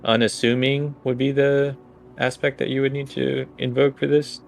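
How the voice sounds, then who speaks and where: A man's voice: medium pitch, level tone, moderate pace, creaky, slight background noise. A man running a tabletop game, improvising narration and rulings in a hesitant, think-aloud way. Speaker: game master.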